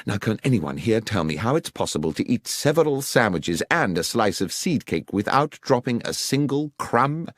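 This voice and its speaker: A man voicing a composed, brilliant Victorian detective in an audiobook, precise, deliberate and assertive as he questions. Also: medium pitch, sing-song. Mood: pointed, incisive.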